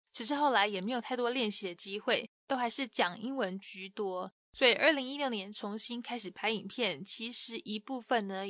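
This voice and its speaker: A woman with a mid-range voice narrates between interview clips. She explains each clip in a clear, teaching manner. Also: medium pitch, fairly bright tone. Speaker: program narrator.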